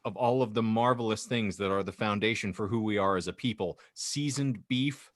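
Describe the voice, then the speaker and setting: A man's voice: lowish voice, moderate pace, no echo. A man hosting a casual comedy podcast, bantering and speechifying with mock-solemn grandeur. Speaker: podcast host.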